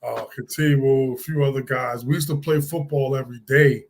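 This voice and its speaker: A man in his sixties from Hartford, Connecticut, telling stories as an interview guest in a relaxed, rambling way with many restarts. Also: medium pitch, fairly quick, gravelly, a little hiss or hum. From Hartford, Connecticut, USA. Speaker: guest, former football player. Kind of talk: casual interview storytelling.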